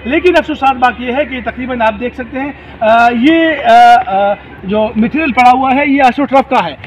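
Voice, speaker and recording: A man in his forties giving an on-location news report at an outdoor stadium, pausing often with fillers as he talks. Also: very high-pitched, faint music behind, poor audio. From Pakistan. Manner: hesitant, with many filler pauses. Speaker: field reporter. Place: outdoor hockey stadium, daytime.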